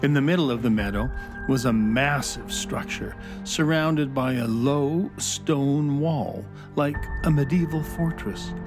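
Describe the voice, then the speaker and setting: A man narrating a scripted children's adventure story in a measured storybook delivery. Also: medium pitch, music in the background.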